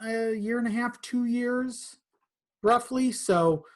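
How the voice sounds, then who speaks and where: A man's voice: extremely high pitch, slow pace. A man talking casually as a podcast guest, informal and conversational, with some swearing. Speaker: podcast guest, content creator.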